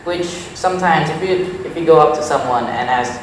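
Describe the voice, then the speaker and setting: A man's voice: on the high side, fairly quick, a little hiss or hum. A teenage boy with a Thai accent, giving frank, thoughtful answers in an interview. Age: teens. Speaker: interview guest. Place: small indoor room. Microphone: lapel mic. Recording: echoey room, distant sound.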